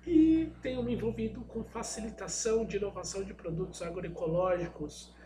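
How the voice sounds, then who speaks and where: A middle-aged Brazilian man with a slightly hoarse voice, giving an informal talk as a guest speaker in a hesitant way, searching for words and adding tag questions. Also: sits high, fairly quick. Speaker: guest speaker, product designer and innovation agent. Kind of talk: informal spoken presentation. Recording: echoey room with faint hum.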